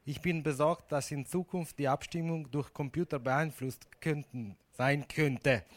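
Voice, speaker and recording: Halting non-native man telling jokes. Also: a little high, steady tone. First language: not German. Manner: halting, many fillers, joking. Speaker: speaker at an event. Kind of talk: humorous talk.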